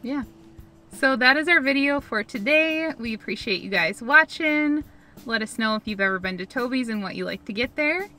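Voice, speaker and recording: A woman's voice: a little high, animated, normal speed, bright, forceful tone, soft background music. A young woman from Minnesota, co-hosting a food vlog from a parked car, upbeat and giggly as she chats. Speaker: food vlogger co-host. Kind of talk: casual food review chat. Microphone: camera on dashboard.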